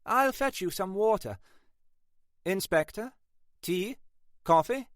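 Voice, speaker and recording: A man's voice: high voice, animated, slow pace, dry recording, studio quality, pitched above the performer's own voice. A man voicing a posh, fussy lady of the house who gushes like a genteel hostess. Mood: gushing.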